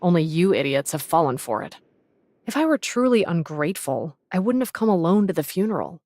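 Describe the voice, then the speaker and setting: An actress playing a proud, principled young fleet officer in an anime game, composed, frank and firm. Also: female voice, on the low side, big pitch swings, brisk, darkish tone, no room sound. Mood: defiant, cold. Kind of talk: English anime game voice acting.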